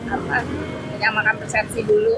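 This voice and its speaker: A woman's voice: medium pitch, completely flat delivery, fairly quick, music in the background. A woman, a school principal casually explaining her opinions with colloquial tags and rhetorical questions. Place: on a ride-hailing motorbike on the road. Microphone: helmet intercom microphone.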